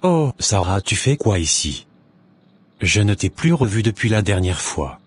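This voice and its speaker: A man playing a sincere, devout young suitor in an animated religious story, speaking in earnest, formal phrases. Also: lowish voice, unhurried, slightly rough, exaggerated voice. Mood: friendly, earnest.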